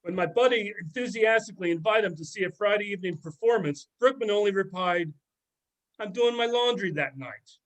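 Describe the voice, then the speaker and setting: Sardonic middle-aged ex-student, played by a man, high male voice, gossipy and mocking, amateur readers theater. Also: fairly quick, lightly acted, rough recording. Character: sardonic middle-aged former student dishing on an old teacher. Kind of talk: amateur readers theater, conversational script reading.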